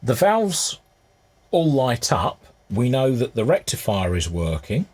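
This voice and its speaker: Husky man talking through a repair. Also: mid-range voice, big pitch swings, slow, darkish tone. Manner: unscripted, musing aloud to himself. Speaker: hobbyist restorer presenting his repair. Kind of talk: casual hands-on repair commentary.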